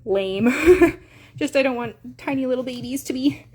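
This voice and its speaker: A woman's voice: sits high, normal speed, darkish tone, breathy edge. A young woman with a Canadian accent chatting in a casual live stream, full of fillers and coos. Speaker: hamster breeder streaming live.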